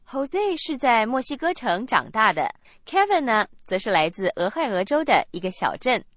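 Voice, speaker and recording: A woman's voice: on the high side, big pitch swings, normal speed, a bit bright, no echo. A woman co-hosting a scripted radio language lesson, explaining in a chatty way into a studio mic.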